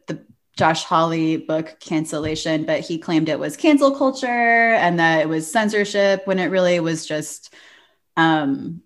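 Casual woman podcaster, slightly low pitch. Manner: informal, hedging, explains conversationally. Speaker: podcast co-host. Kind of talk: casual book news discussion.

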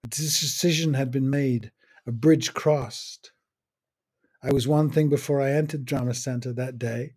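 An older man muses over his memories in a measured, unhurried monologue. His voice is mellow and a little husky, and he lets short phrases fall apart with long pauses between them. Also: mid-range voice, steady tone. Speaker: podcast host. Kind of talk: reflective personal monologue.

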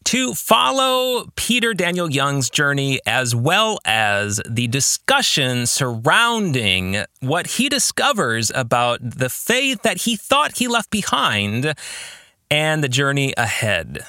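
A man's voice: mid-range voice, very animated, slow pace, bright, forceful tone, no echo, studio quality. A man hosting a podcast, speaking directly to his listeners in an earnest, emphatic way. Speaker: podcast host. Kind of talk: podcast monologue addressed to listeners.

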